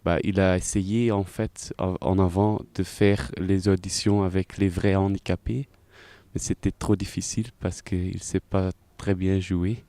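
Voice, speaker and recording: A man speaks halting, in an interview. His voice is deep and flat in melody, and he talks slowly. He often stops to look for the next word. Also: no room sound. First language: Dutch. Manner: halting, searching for words in French. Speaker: actor. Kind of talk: interview, recounting.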